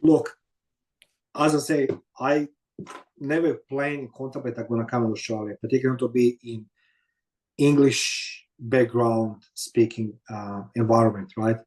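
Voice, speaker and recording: A man in his fifties from Croatia with a Croatian accent, telling his story as an interview guest in halting non-native English full of fillers. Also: mid-range voice, fairly even intonation, a little mellow, rough recording. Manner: halting, many fillers and "you know what I mean".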